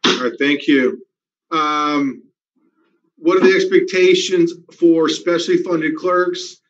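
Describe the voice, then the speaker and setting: A school district administrator giving a town hall presentation over a video call, speaking steadily with frequent "um" fillers. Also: male voice, a little high, steady tone, slow pace. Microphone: video call webcam. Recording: dull lo-fi video call audio.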